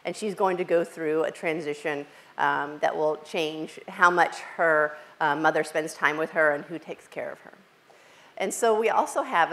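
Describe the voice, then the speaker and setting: A woman giving an academic conference talk in a lecture hall through a hall microphone, speaking loudly with frequent fillers and restarts. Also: fairly low pitch. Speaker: invited speaker. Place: lecture hall with some echo.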